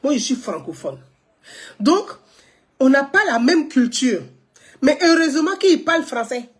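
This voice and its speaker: A woman's voice: high voice, big pitch swings, unhurried. A woman, giving live political commentary to viewers, emphatic, repeating her points and asking rhetorical questions.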